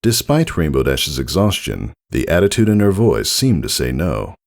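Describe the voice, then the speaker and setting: Deep-voiced, animated male storyteller with some creak. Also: normal speed. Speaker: narrator. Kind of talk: scripted story narration, fan fiction audiobook reading.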